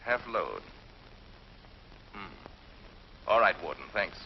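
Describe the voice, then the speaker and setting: A man's voice: on the low side, very animated, takes it slowly, creaky, slight background noise, exaggerated voice. An actor playing a methodical male space scientist who explains his reasoning, in a 1950s TV drama, on a muffled old soundtrack. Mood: thoughtful.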